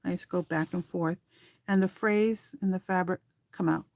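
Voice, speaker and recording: A woman's voice: lowish voice, fairly even intonation, normal speed, gravelly, a little mellow, dry recording. A woman hosting a craft tutorial in a casual, rambling, conversational way, thinking aloud as she works with her hands. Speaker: craft tutorial host and small online shop seller.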